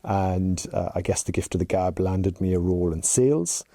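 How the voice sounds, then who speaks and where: A very dark, mellow-voiced Irish man in his forties answers interview questions in a chatty, anecdotal way. His voice is low and gravelly, recorded dry and close. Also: normal speed, no room sound. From rural Ireland. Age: 40s. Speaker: podcast guest, chief executive.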